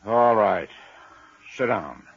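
A man's voice: lowish voice, lively intonation, slow, husky, exaggerated voice. An actor playing an irascible, domineering old rich man from his sickbed in a 1950s radio drama, curt and imperious. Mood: impatient, gruff. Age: older. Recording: muffled, dull 1950s radio recording.

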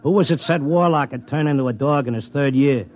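An actor playing a stubborn, lovesick Broadway handicapper with a New York accent and slangy talk, in 1950s radio drama style. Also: male voice, mid-range voice, a little fast, a little mellow, natural voice. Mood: stubborn, insistent. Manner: slangy, colloquial tough-guy talk. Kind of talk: 1950s radio drama acting, first-person narration and dialogue. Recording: dull vintage radio recording, music behind.

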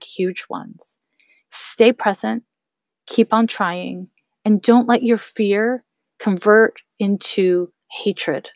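A woman's voice: mid-range voice, no echo. A woman in her thirties hosting a podcast, giving casual spiritual advice and encouraging her listeners, whom she calls her loves. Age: 30s. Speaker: podcast host. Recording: dry close recording.

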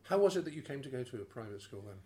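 A man's voice: mid-range voice, sing-song, rapid-fire, mellow. A man interviewing a guest, asking formal, probing questions.

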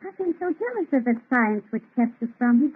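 High-voiced, devoted young fiancée. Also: female voice, big pitch swings, a little fast, husky. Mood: adoring, happy. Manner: earnest, gushing. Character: devoted, sweet young fiancée, breathlessly romantic. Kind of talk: 1930s radio melodrama acting. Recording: thin, tinny old radio recording.

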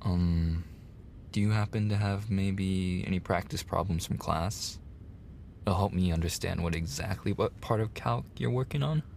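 A man plays a male college tutor in an intimate ASMR roleplay, easygoing and teasing. His soft voice sits low with a heavy creak, and he takes his time with casual, flirty pauses. There is faint noise behind him. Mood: encouraging. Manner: casual, hesitant pauses, soft-spoken, drops into whispers. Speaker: audio roleplay performer.

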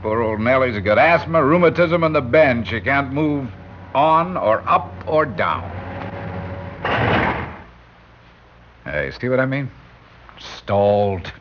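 An actor playing a brusque, sarcastic, impatient elevator passenger in a classic radio drama, mocking and blustery. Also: male voice, medium pitch, animated, measured pace, natural voice. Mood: smug, scoffing. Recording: dull, hissy old radio recording.

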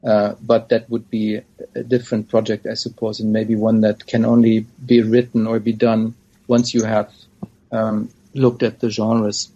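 A man, a scholar being interviewed, answering in a hesitant, thoughtful way with frequent pauses. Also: fairly low pitch, monotone, mellow, breathy edge. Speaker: scholar of Islamic studies, guest. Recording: dull lo-fi audio.

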